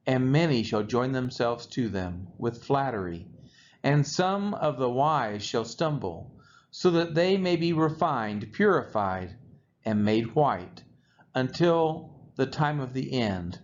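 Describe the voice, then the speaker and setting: Man reading scripture aloud, widely swinging pitch. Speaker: scripture reader. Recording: dull lo-fi recording with faint noise.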